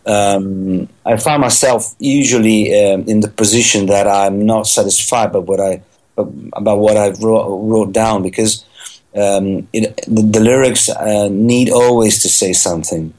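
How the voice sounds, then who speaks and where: Halting middle-aged raised man. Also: fairly low pitch, steady tone, darkish tone. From Italy. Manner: halting, many fillers and restarts. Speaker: musician guest. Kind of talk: interview answers.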